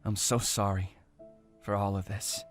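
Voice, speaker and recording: A man playing a gentle, apologetic character in a fan audio drama, talking softly and reassuringly as if to a big animal friend. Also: fairly low pitch, flat delivery, measured pace, faint music behind, lightly acted.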